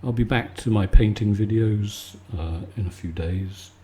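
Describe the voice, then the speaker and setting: A man giving a calm, soothing, conversational bedtime chat in a relaxed ASMR style. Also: fairly low pitch, measured pace, soft background music. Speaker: video maker and host.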